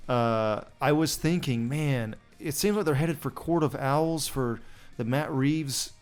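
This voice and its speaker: Male podcast co-host, mid pitch, casual and profane, soft music underneath. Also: takes it slowly, clean tone. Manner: blunt, swears freely, offhand. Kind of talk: casual chat.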